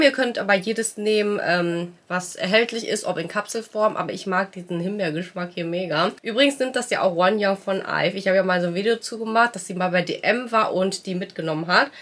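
A woman's voice: mid-range voice, a bit bright. A young woman vlogging casually to camera at home with a stuffy nose from a cold, chatty and upbeat, dropping in English words. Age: young adult. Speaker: vlogger and music trainee. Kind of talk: casual vlog chat to camera. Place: bedroom at home, indoors.

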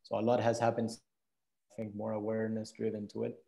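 A man's voice: lowish voice, monotone, a little fast, creaky, dark tone, slight background noise. A man in his thirties from Venezuela, answering reflectively as a guest on a video call interview. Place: indoor room, video call.

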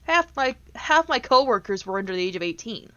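A woman's voice: mid-range voice, big pitch swings, a little fast, fairly bright tone, dry recording, muffled recording. A woman in her twenties ranting casually on a gaming stream, blunt, exasperated and sweary as she tells stories. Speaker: streamer, VTuber host.

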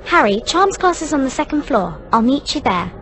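Studious girl witch, video game, highish pitch, animated, brisk, know-it-all. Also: slight background noise, heavily acted. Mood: purposeful. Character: bossy, studious young schoolgirl witch. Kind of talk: scripted video game acting.

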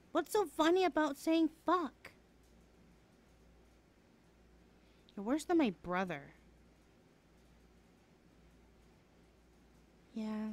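A woman playing a chatty, teasing young adult best friend in a casual, hesitant ASMR roleplay. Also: fairly high pitch. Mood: whiny, indignant. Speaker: voice actor in audio roleplay. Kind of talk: ASMR roleplay, chatty one-sided conversation.

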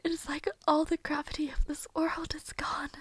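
Woman acting very high, whispery devoted maid. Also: level tone, dry recording, close to an everyday voice. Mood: tender relief. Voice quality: breathy, whispery. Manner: hesitant, halting, tender. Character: devoted, fragile young maid, tearfully relieved, deferential. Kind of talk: intimate audio roleplay.

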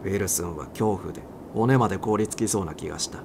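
A man's voice: deep voice, fast. A man reading a story aloud as a dramatic audiobook narrator. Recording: clear background noise.

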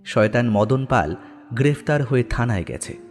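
A man narrates a horror story in a dramatic, scripted storytelling style. His pitch moves in lively swings over a faint bed of music. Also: normal speed.

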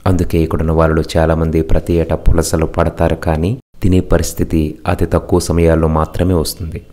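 A man giving calm, reflective voice-over narration for a market travel video. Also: a bass voice. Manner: calm, thoughtful, philosophizing.

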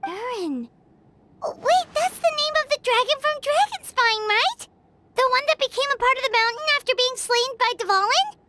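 A woman voicing a small, excitable, childlike fairy companion in an anime game dub, talkative and curious. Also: very high-pitched, moderate pace, very noisy. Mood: recalling. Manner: speaks of self in third person.

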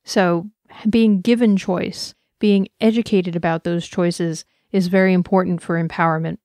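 A woman's voice: fairly low pitch, steady tone, measured pace, darkish tone, no room sound, clean studio sound. A woman giving a personal, earnest conference talk, speaking with passion and reflection. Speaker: conference presenter.

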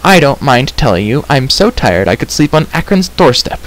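A man voicing an excitable, curious male bard in a storybook, animated and exclaiming. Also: medium pitch, normal speed, voice pushed up, natural voice, rough recording. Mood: weary, complaining. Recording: clear background hiss.

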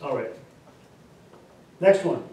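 A man's voice: a little high, animated, warm tone, recorded from a distance, a little hiss or hum. Man lecturing in an echoey classroom. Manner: explains with repeated examples, stressing key terms slowly. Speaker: instructor. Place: classroom, indoors. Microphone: far camera.

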